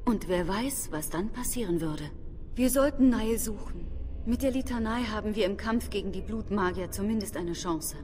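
Actress as senior female mage, fantasy game; earnest, measured, caring, medium pitch, clear ambient noise behind. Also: a little mellow, close to an everyday voice. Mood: grave, concerned. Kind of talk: scripted fantasy game dialogue in German dub.

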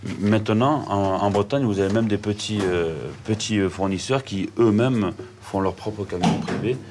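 Deep-voiced chef guy talking while he works, kitchen clatter behind. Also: moderate pace, noisy background. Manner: direct, instructive, hands-on. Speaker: head chef. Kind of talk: casual explanation at work. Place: hotel kitchen.

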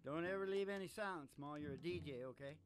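A man in his sixties plays the singing country DJ, chatting playfully and rambling in a folksy way. He speaks into a stage microphone, with clear guitar music behind his voice. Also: a little high, fast. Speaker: singing DJ and podcast host. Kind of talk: bantering country music show chat. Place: small indoor studio stage with curtain. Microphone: stage microphone on stand.

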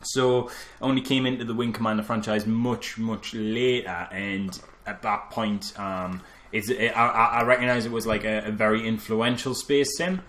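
A man's voice: on the low side, steady tone, normal speed, fairly bright tone. Man chatting jokily as a podcast co-host. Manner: jokey anecdotal storytelling, sweary. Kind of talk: casual film review chat.

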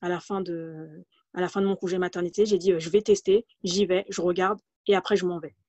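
A woman's voice: on the low side, steady tone, brisk, a little mellow, no room sound. A woman in her thirties from the Paris region speaks as a guest on a video call. She talks hesitantly, with fillers and restarts. The sound is dull and lo-fi, and the room is dry. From France, Paris region. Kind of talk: casual interview, telling her career story.

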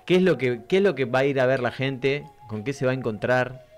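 A man's voice: medium pitch, expressive, a little fast, soft background music. A man in his thirties with a Buenos Aires Argentine accent, hosting a casual, chatty radio interview on a close studio microphone. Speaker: radio host.

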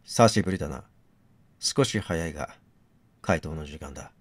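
A man's voice: moderate pace, no room sound. A man playing a grim, curt, menacing man in a dramatic game scene. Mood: cold, menacing. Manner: low, terse, menacing. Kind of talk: dramatic game dialogue reading.

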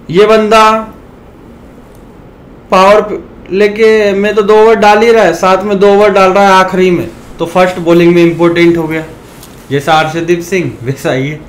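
A hoarse man from India, sick with a sore throat, chatting to his viewers in a fairly high voice. He calls them brothers and rants loudly at times. Faint noise hums under the recording. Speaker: fantasy cricket YouTuber. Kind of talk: casual talk to viewers.

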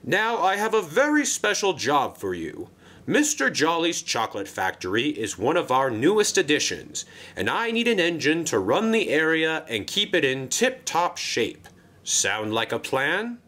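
Casting: man as polite, self-important older gentleman running a storybook railway, effusive, lively pitch. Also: unhurried, full character voice. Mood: politely flustered. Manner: formal, effusive, flustered when surprised.

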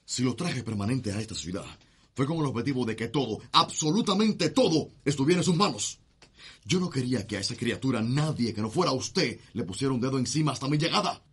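An actor with a Cuban accent playing an imperious, menacing, contemptuous gentleman husband in a radio soap opera. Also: mid-range voice, animated, brisk, slightly rough. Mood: indignant. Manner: commanding, threatening.

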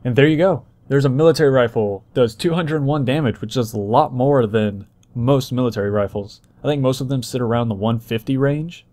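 A man's voice: medium pitch, brisk, a little mellow. A man doing casual, explanatory gameplay walkthrough commentary as the host, talking informally to viewers.

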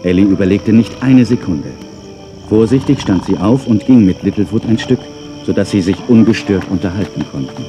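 A man narrating a scripted children's audio drama as a calm storyteller. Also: fairly low pitch, moderate pace, music in the background, close to an everyday voice.

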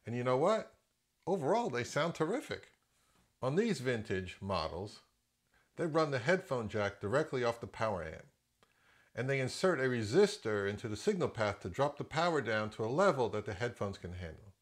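A man's voice: mid-range voice, sing-song, normal speed. A middle-aged man giving a casual, opinionated product review as an audio gear reviewer, in a conversational way.

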